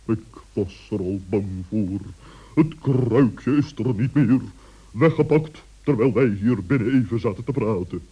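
A man playing a stern, bossy old owl in a children's radio play, pompous and reproving with drawn-out emphasis. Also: medium pitch, expressive, breathy edge. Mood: dismayed, scolding. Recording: dull vintage radio recording.

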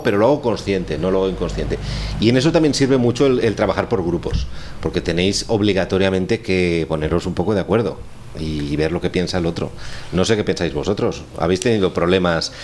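Deep voiced guy from spain, spanish accent, wry rambling chat, bit of room noise. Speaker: scriptwriter and course teacher. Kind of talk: casual chat on a radio show. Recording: clearly noisy room recording.

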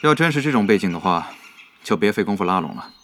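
A man playing a seasoned, analytical gaming guild leader in a Chinese audio drama, speaking in a measured, composed way. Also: lowish voice, very animated, a bit bright, a little hiss or hum. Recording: music bed under the voice.

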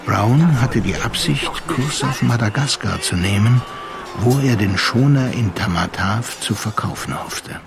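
A man's voice: mid-range voice, animated, unhurried, creaky. A man narrating a radio play as a dramatic literary storyteller. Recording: loud music bed under the voice. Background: loud music.

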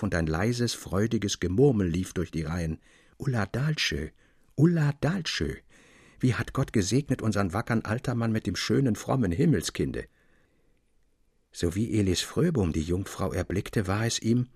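A man's voice: medium pitch, slightly rough, no room sound, clean studio sound. A man narrating a classic literary tale expressively, as an audiobook reader. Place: dry studio.

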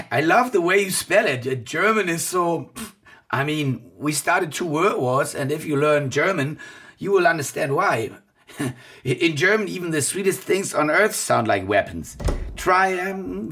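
A man's voice: on the high side, lively intonation, a little slow, a bit bright, husky, exaggerated voice. An actor playing a cynical, foul-mouthed war reporter in a modern radio drama, switching between German and English. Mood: flirting, playful. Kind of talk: modern radio drama, natural dialogue and narration.

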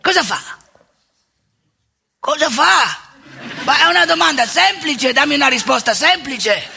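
A woman's voice: high-pitched, lively intonation, measured pace, gravelly, bright, forceful tone, full character voice. A woman playing a wry, wounded old former seminarian priest in a theatrical monologue, talking colloquially and prodding the audience with questions. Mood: teasing, lecturing. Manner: colloquial, teasing, rhetorical questions and tag words. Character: aging Veneto ex-seminarian priest, wry, wounded, ranting storyteller. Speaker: stage monologue actor.

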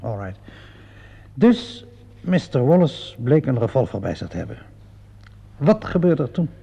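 An actor playing a calm, sceptical police inspector in a radio play, questioning in a measured, dryly ironic way. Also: male voice, mid-range voice, gravelly, breathy edge, heavily acted. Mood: probing, dry. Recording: dull, muffled old radio recording.

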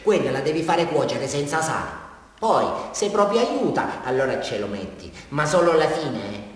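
An actor playing a rambling, unhinged villain from a small town confessing to police in a radio drama, with a Southern Italian accent and an erratic, repetitive delivery. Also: male voice, medium pitch, close to an everyday voice. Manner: erratic, digressive, repeating himself, swinging from loud to hushed. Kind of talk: theatrical radio drama monologue. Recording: very echoey hall, distant mic, music. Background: music.

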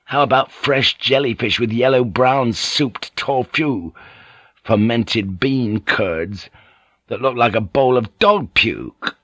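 A man's voice: mid-range voice, takes it slowly, a bit bright, slightly rough, no echo. A man voicing a blunt, opinionated, irritable European man of the sea in an audiobook. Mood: irritated, scornful. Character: blunt, irritable European seafarer living in Japan.

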